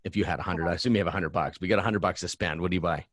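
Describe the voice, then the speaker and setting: A man's voice: low-pitched, steady tone, very fast, a bit bright, no room sound, studio quality. A man hosting a casual interview in an enthusiastic, affirming way. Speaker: podcast host.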